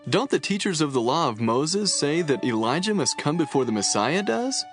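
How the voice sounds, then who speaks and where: An actor playing a puzzled, questioning disciple in a dramatised scripture recording. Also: male voice, on the high side, big pitch swings, normal speed. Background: music.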